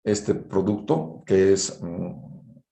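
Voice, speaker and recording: A man's voice: sits low, animated, very slow, gravelly, mellow. A man lecturing informally to students in a didactic way, often checking in with short tag questions. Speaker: university engineering lecturer. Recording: roomy, echoing online class audio.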